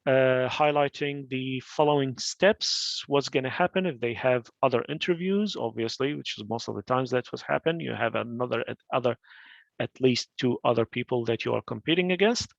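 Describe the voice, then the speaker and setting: A man's voice: mid-range voice, moderate pace, vocal fry, no room sound, highs cut off. A man from Iraq presenting career advice in an informal talk, full of fillers and restarts, on a video call. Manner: advisory, with frequent fillers and restarts.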